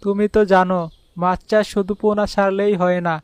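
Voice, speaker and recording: A man's voice: sits high, little pitch movement, a little fast, slight background noise, natural voice. A man voicing a friendly village seller of young fish who gives advice in a cartoon fairy tale. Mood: friendly, advising. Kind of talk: animated fairy tale voice acting.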